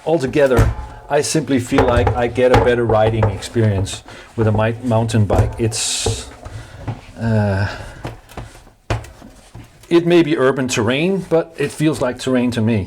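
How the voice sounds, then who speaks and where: A man's voice: medium pitch, over loud music. A man with a Danish accent, talking casually in English while he replaces bike tires, with lots of fillers and restarts. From Denmark. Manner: halting, many fillers and restarts, chatty asides. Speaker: DIY maker vlogger.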